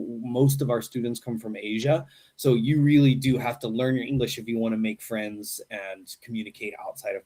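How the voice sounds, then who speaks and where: A middle-aged American man speaking casually in an interview. His voice sits at an ordinary pitch, and he talks in a loose, conversational way. He keeps tagging his points with a quick "right?". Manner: relaxed, chatty, frequent "right?" tags. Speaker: English teacher at a university program.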